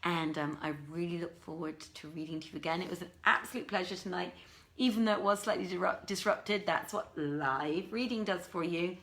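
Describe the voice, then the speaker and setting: A woman with an expressive, courteous manner, working as a live story reader with theatrical, carefully phrased delivery. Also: fairly low pitch, fairly quick. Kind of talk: theatrical storybook reading and informal livestream talk.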